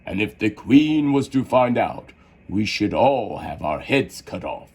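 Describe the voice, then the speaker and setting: Man voicing a humble, anxious low-voiced gardener. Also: very animated, breathy edge, exaggerated voice. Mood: sheepish, worried. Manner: low, slow, hushed confiding.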